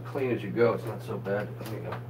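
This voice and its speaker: A man cooks in his home kitchen and chats easily with viewers on a live stream, his low voice gone hoarse from a coming cold. The phone picks him up from across the counter. A steady hum sits under everything. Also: fairly quick, distant mic. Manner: chatty, greets viewers by name while cooking. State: voice going hoarse, getting sick. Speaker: home cook livestreaming. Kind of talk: casual cooking livestream. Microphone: phone camera.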